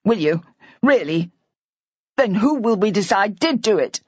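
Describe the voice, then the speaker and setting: A despairing older husband who rambles in a near-hysterical rush, as a woman narrator puts him on. The voice stays close to her own husky tone, on a dull, dry recording. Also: medium pitch, animated, a little slow, a little mellow, no echo. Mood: distraught.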